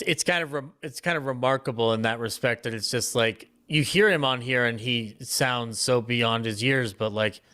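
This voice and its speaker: A man's voice: medium pitch, a bit bright. A man giving casual commentary as a member of a discussion panel.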